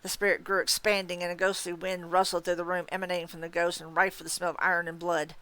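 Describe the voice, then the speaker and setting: A woman reading a story aloud in dramatic, expressive, suspenseful narration. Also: fairly low pitch, fast talker, clear, resonant tone. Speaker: story reader. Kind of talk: dramatic audiobook-style narration.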